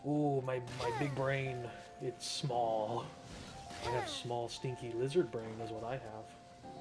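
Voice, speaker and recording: A male gaming streamer talks through his playthrough in a mid-pitched voice. He rambles casually and pokes fun at himself, with game music clearly audible behind him. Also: steady tone, takes it slowly. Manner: self-deprecating, rambling.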